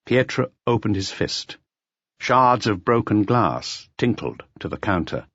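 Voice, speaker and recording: Low, husky male audiobook narrator, expressive. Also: measured pace, no room sound, highs cut off. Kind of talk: scripted narration of a detective novel.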